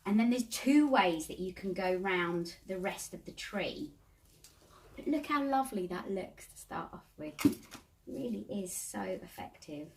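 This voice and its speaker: A woman with a mid-pitched voice guides a craft tutorial in a casual, chatty way. She talks to herself as she works through each step. The microphone catches her from a little distance at times. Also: lively intonation, a little slow, creaky. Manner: chatty, self-talking while working.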